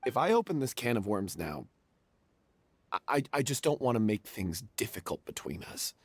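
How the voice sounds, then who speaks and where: An actor playing a young male stage performer confessing a guilty secret, hesitant and then anguished, with a husky voice. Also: fairly low pitch, sing-song, moderate pace, no echo. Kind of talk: game voice acting.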